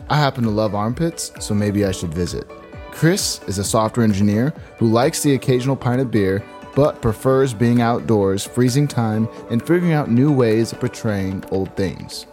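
A man's voice: mid-range voice, darkish tone. A man hosting a podcast interview in a casual, chummy way. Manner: informal, chummy, calls guest "dude" and "brother". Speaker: podcast host.

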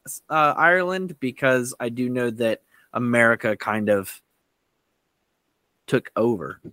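A man co-hosting a casual podcast, bantering through a quiz in a joking, animated way. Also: mid-range voice, measured pace, no echo. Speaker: podcast co-host.

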